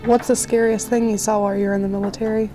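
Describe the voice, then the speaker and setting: A woman interviewer reading prepared questions in a brisk, scripted way. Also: medium pitch, level tone, rough recording. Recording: music playing behind.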